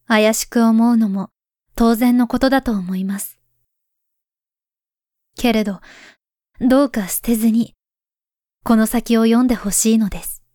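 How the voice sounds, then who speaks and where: A solemn woman reading a warning letter aloud in a voice drama, voiced by a woman. Her voice sits fairly high but stays hushed and soft, often slipping toward a whisper. The sound is close and dry. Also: fairly even intonation, a little slow, pauses often, dry recording, natural voice. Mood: earnest. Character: grown woman writing to her past self, grave and pleading.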